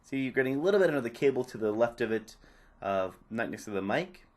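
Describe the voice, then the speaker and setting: A man's voice: medium pitch, lively intonation, fast. A man presenting a repair tutorial, giving casual, informal step-by-step hands-on instructions. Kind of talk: casual hands-on how-to narration.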